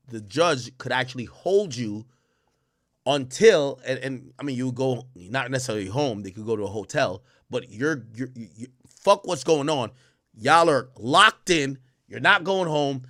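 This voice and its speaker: A man's voice: medium pitch, fairly bright tone. Rambling, profane male livestreamer. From Jamaica. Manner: loose, rambling, profane, heavy slang. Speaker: livestream host. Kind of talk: casual livestream commentary to chat. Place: indoor home streaming room. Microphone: headset.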